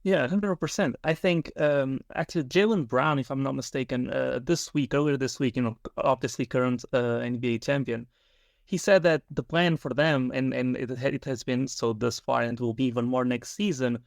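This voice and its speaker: Gravelly-voiced male podcast co-host. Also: medium pitch, normal speed, no room sound, clean studio sound. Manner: rambling, many fillers and restarts. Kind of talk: podcast interview, sports chat.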